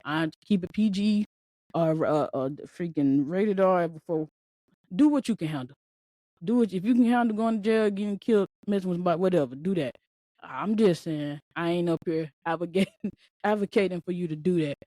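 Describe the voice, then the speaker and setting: Mellow-voiced young woman podcasting. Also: lowish voice, fairly quick, no room sound, studio quality. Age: 20s. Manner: casual, repetitive, emphatic, preachy. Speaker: podcast host. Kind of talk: solo motivational podcast talk.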